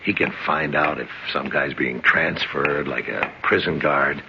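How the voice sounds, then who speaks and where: A low-voiced, gravelly crook who taunts and orders people around with calm menace, his pitch swinging widely. It comes through a dull old radio broadcast with a steady hiss behind it. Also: measured pace, exaggerated voice. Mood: commanding. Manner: cool, taunting, commanding. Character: smooth menacing male crook posing as guard. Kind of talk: 1970s radio drama acting.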